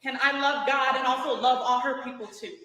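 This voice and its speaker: A woman performing spoken word poetry with deliberate, declamatory phrasing on stage in a hall. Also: high voice, fairly even intonation, pushed and bright. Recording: echoey hall with long reverb.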